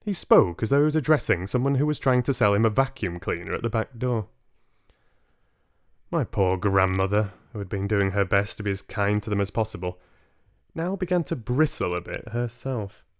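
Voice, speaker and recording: A man reading a story aloud as narrator, in an expressive storytelling style. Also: on the low side, normal speed. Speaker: audiobook reader, livestream host.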